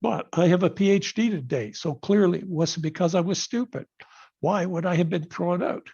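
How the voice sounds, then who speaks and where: Husky older man on a lo-fi call. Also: high-pitched, darkish tone. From Canada. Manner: earnest, measured storytelling. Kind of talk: personal speech on a video call. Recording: dull lo-fi video call audio.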